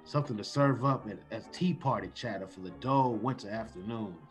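A man's voice: on the low side, brisk, natural voice. An actor playing a tough, slangy, streetwise man in an old-time radio drama. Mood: menacing. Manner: slangy tough-guy talk. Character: tough, suspicious streetwise man with a soft streak. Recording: dull sound with music.

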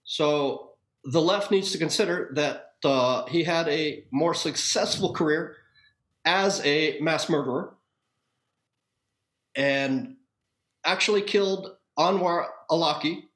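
A man's voice: on the high side, takes it slowly, a bit bright. Echoey, wordy American man. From Hawaii, United States. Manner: wordy, erudite, wry. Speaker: podcast guest. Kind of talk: conversational interview, opinionated storytelling.

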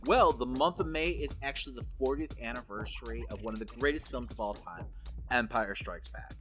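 A man's voice: mid-range voice, fairly quick, a bit bright, soft background music. A man co-hosting a casual pop culture podcast, talking conversationally with lots of "like" and "you know". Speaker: podcast co-host.

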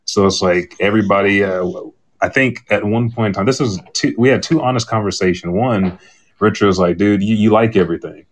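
A man's voice: lowish voice, fairly quick. A man co-hosting a podcast, chatting casually and candidly in a rambling way, often retelling conversations as dialogue. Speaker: podcast host. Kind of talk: casual chat on a live podcast panel.

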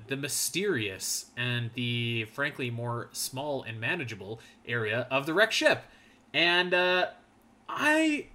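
Thirtysomething guy on a gaming podcast, bright tense voice, rambly and laid-back. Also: medium pitch. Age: 30s. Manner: rambling, laid-back, lots of fillers and restarts. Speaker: podcast co-host. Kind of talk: casual gaming podcast chat.

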